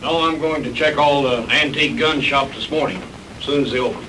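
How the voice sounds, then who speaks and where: Bright-voiced male investigator, off from the mic acted. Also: mid-range voice, steady tone, fairly quick, lightly acted. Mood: matter-of-fact. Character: matter-of-fact male investigator reporting findings. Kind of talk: 1960s B-movie dialogue acting. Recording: distant, noisy 1960s film soundtrack.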